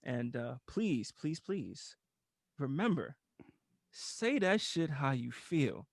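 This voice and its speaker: A man's voice: medium pitch, sing-song, takes it slowly, creaky, no room sound, clean studio sound. A man co-hosting a podcast, making short reactions and signing off the show in a laid-back way. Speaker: podcast co-host. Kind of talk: casual chat, closing the show.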